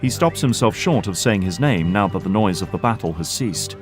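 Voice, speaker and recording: A man's voice: on the low side, lively intonation, normal speed, over music. A man narrating a scripted audio drama.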